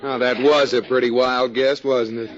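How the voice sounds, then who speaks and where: An actor playing a smug, affectionate husband who needles playfully in a 1940s radio sitcom. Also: male voice, mid-range voice, little pitch movement, normal speed, over a noisy crowd, lightly acted. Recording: noisy, muffled 1940s radio recording, studio audience.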